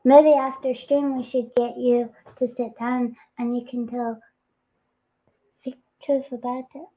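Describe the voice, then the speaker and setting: A woman's voice: fairly high pitch, little pitch movement, measured pace, mellow, lo-fi sound. A woman hosting a livestream, chatting casually, giggling and teasing her viewers. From Scotland. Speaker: stream host.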